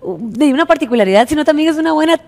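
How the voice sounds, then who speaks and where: A Costa Rican woman in her thirties chats as a TV presenter. Her voice is on the high side, and the studio adds a long reverberant tail. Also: expressive, very fast, creaky, a little mellow. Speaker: television presenter. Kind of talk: unscripted studio chat. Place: indoor television studio.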